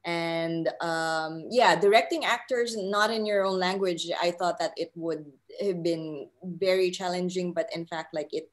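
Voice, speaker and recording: A woman's voice: fairly low pitch, measured pace, few pauses. A woman in her thirties from the Philippines, giving casual, reflective interview answers on a video call with a hesitant manner. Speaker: film writer and director, panel guest. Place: living room on a video call. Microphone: laptop mic on video call.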